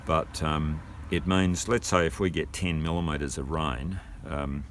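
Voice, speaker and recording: A man's voice: extremely low pitch, fairly even intonation, a little slow, a bit bright, slight background noise. An older man with an Australian accent, explaining things casually and hesitantly as a homeowner, thinking aloud as he goes. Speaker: homeowner and gardener showing his water tanks.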